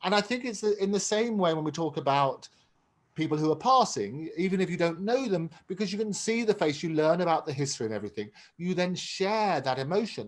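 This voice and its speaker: Man, interview host, earnest, reflective, lively pitch, video call. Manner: earnest, reflective, repeats words. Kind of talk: conversational interview.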